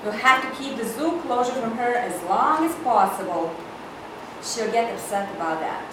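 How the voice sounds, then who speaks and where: A woman's voice: on the high side, animated, normal speed, natural voice. A woman playing a haughty, ambitious, wealthy older sister in a live screenplay reading. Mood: disdainful. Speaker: actor. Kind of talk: cold-read screenplay scene reading. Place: large room at a live staged reading. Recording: echoey hall, distant mic, noisy.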